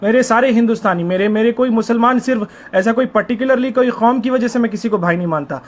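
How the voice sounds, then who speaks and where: A man's voice: extremely high pitch, fast talker. A man from India, arguing over the phone in a pleading, reproachful and insistent way. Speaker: caller. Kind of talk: confrontational phone conversation. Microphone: phone call. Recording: noisy recorded phone call.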